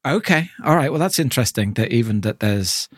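A man's voice: medium pitch, expressive, a little fast, dry recording, studio quality. A man co-hosting a podcast, casually discussing an audio drama and thoughtfully rephrasing as he goes. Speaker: podcast co-host.

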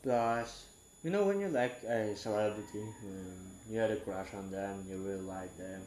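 Young man, 18, from Southeast Asia, non-native English speaker, frank and halting, stops to search for words; casual confessional monologue. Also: lowish voice, darkish tone, a little hiss or hum. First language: not English. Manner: halting, rambling, frank, self-correcting.

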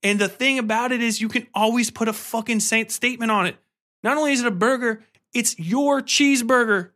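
A man's voice: very high-pitched, fairly quick, sharp tone. A man with an American accent hosting a podcast in a casual comedic rant, slangy, swearing freely and emphatic with exasperation. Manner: slangy, conversational. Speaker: podcast host.

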